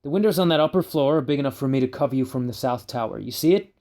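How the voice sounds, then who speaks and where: Man acting commanding crew leader, male, medium-pitched voice, giving orders, fan audio drama. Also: animated, racing, mellow, natural voice. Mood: briefing.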